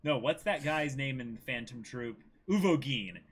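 A man's voice: medium pitch, expressive, moderate pace, breathy edge. A man in his twenties chatting casually and animatedly as a co-host on an anime discussion stream, often laughing. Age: 20s. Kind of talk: casual chat.

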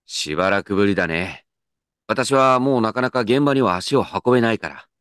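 An actor playing an earnest, dignified company president in a comedic radio drama, with pompous, measured executive speech. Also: male voice, on the low side, lively intonation, a bit bright, clean tone, dry recording, studio quality. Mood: genial.

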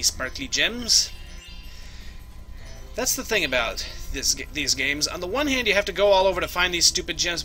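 A young man talking over his gameplay with a bright, lively voice that bounces all over the place. He clowns around, makes silly noises and chats with the characters on screen. Game music plays softly behind him. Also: a little high. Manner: goofy, jokey, talks to the game characters. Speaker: let's play host. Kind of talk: casual gameplay commentary.

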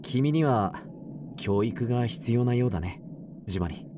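An actor playing a gentle, educated, protective young soldier, calm and explanatory, in a radio drama. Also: male voice, mid-range voice, moderate pace, noisy background, lightly acted. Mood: kindly teaching. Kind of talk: Japanese radio drama acting.

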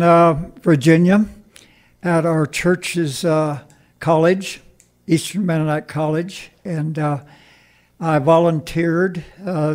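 A man's voice: a little high, a little mellow. A man in his eighties from Pennsylvania with a Pennsylvania Dutch accent, casually reminiscing as a radio interview guest in a folksy way. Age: 80s. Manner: folksy, chuckles often.

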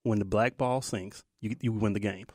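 A man's voice: lowish voice, steady tone, normal speed, darkish tone, no room sound, clean studio sound. A man co-hosting a radio talk show, explaining his points by asking rhetorical questions. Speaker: radio co-host. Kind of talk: casual studio chat.

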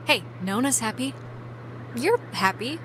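A woman's voice: a little high, expressive, unhurried, noisy background. A young American woman playing a blunt, friendly teenage girl in a video game, with a dry, casual way of talking. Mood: coaxing. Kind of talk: naturalistic conversational video game acting.

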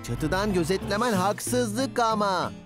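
A woman's voice: mid-range voice, animated, unhurried, over music, natural voice. A woman voicing a mild, earnest little boy bus who worries, in a children's cartoon dub.

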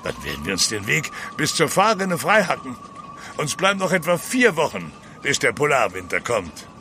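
An actor playing a stern, proud ship's captain in an audio drama, speaking with formal command. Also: male voice, mid-range voice, huge pitch swings, normal speed, background noise. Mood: confident. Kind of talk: German radio play acting.

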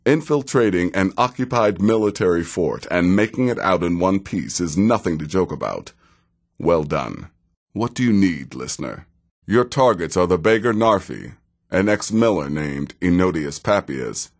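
An actor playing a sardonic, world-weary assassin in a fantasy video game, with a dry, deliberate delivery. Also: male voice, low-pitched, expressive, exaggerated voice. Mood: gruff, measured. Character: sardonic, world-weary veteran male assassin of a dark guild.

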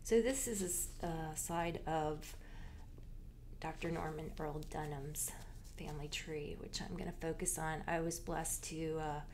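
A woman with a notably low voice presents a slideshow as a library genealogist, explaining each slide in an unhurried, informative way. She pauses often and restarts some of her phrases. A faint hum of ambient noise sits under her voice. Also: creaky.

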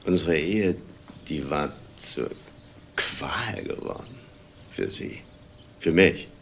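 Very deep-voiced, weary husband. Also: male voice, animated, a bit bright, a little hiss or hum, exaggerated voice. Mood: brooding. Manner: measured, deliberate, halting reflections. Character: weary, bitter unhappily married restaurant owner under suspicion. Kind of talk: naturalistic German TV crime drama acting.

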